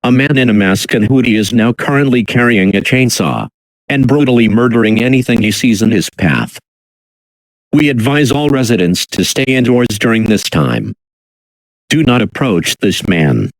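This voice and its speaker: A man reading a scripted emergency alert as an announcer, in a measured, official, impersonal manner. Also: mid-range voice, expressive, no room sound, low-quality recording. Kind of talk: formal scripted emergency broadcast.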